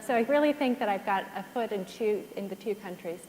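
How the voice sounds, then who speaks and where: A woman with an American accent speaks on a conference panel, explaining things clearly with a light touch of humor. Her voice sits at an ordinary pitch. The sound carries a long reverb from a big conference hall, with a faint hiss under it. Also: lively intonation, fairly quick, a little mellow. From Ireland, raised in the United States. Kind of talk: panel talk.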